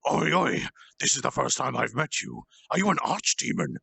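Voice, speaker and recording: A man's voice: medium pitch, lively intonation, moderate pace, vocal fry, sharp tone, slightly rough, no room sound, pitched above the performer's own voice, heavily acted. A man voicing a brash, wary young devil with a blunt, challenging manner in a storybook reading. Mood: alarmed, challenging.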